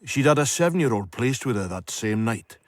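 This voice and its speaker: An actor playing an eloquent storyteller, narrating a radio drama in a measured, florid way. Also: male voice, mid-range voice, lively intonation, no echo, close to an everyday voice.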